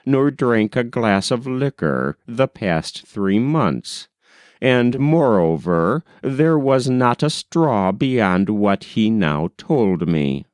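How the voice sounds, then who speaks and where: A man reading a novel aloud as an audiobook, in an expressive, measured storytelling manner on a close mic. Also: mid-range voice, no echo. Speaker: audiobook reader. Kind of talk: audiobook narration.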